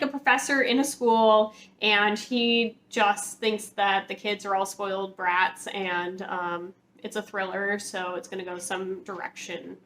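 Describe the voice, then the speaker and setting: A woman's voice: mid-range voice, a bit bright. A woman hosting a casual, chatty book vlog, talking informally to viewers with rambling, hedging asides. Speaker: book vlogger, channel host.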